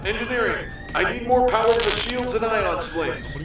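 A man's voice: high-pitched, animated, normal speed, a loud crowd. A man playing an authoritative starship captain in an audio drama, giving urgent, formal orders over an echoing intercom. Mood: urgent, commanding. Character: commanding male starship captain in battle. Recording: heavy echo under loud music and sirens.

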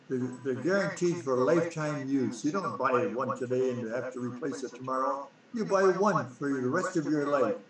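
A man's voice: medium pitch, fairly bright tone, very echoey, recorded from a distance, rough recording. A man in his nineties, a guest being interviewed, talking insistently and repeating himself, often stumbling over his words. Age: 90s. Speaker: guest, sprout grower inventor. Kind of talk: casual interview.

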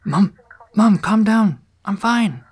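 A man's voice: sits high, expressive, takes it slowly, breathy edge, heavily acted. A man playing a polite, easygoing young student in an audio drama, casual and unassuming. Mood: reassuring, sheepish. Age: young adult. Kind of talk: naturalistic audio drama acting.

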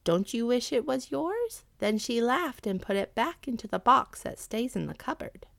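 Storybook cat: clever, scheming male, confident and persuasive, gravelly, dry close sound; a woman reader putting him on. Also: expressive, moderate pace, dry recording. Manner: confident, explanatory, slightly raised pitch.

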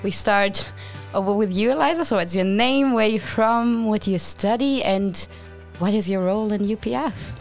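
A woman's voice: mid-range voice, normal speed, faint music behind. A woman hosting a podcast, talking casually as she interviews her guests. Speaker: podcast host.

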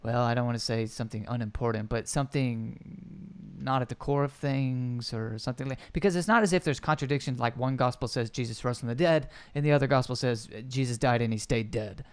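A man's voice: mid-range voice, brisk, clear, resonant tone. A man hosting a podcast, speaking carefully and hesitantly, searching for words. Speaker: podcast host. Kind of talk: casual chat.

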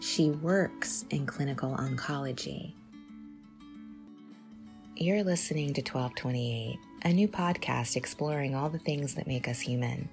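Middle-aged woman hosting a podcast, low and a bit gravelly, earnest scripted read. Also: a little slow, over music. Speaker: podcast host.

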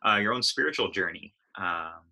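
A man's voice: low voice, lively intonation, normal speed, gravelly. A man hosting an interview, speaking hesitantly with many "uh"s as he introduces his guest. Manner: warm, hesitant, fills gaps with "uh". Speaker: podcast host. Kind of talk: casual interview.

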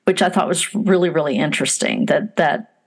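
A woman with a fairly low voice talks as a podcast host. She speaks casually and reflectively, thinking aloud in a conversational flow sprinkled with "like". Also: a little fast, gravelly.

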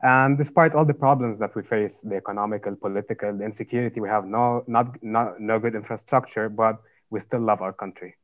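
Young Lebanese man, low voice, muffled line. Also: brisk. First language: Arabic. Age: young adult. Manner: enthusiastic, listing in short phrases. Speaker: university student.

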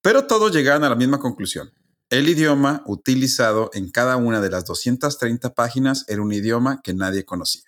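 Mexican male podcast host, mid-pitched, casual storyteller among friends who explains with asides. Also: expressive, brisk, fairly bright tone. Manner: conversational, explains with asides and tag questions.

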